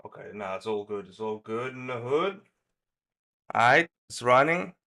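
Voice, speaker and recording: A man's voice: mid-range voice, animated, normal speed, vocal fry, sharp tone. A man talking casually to himself and viewers as a livestream host, in a slangy, muttering way. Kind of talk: casual livestream chat while setting up.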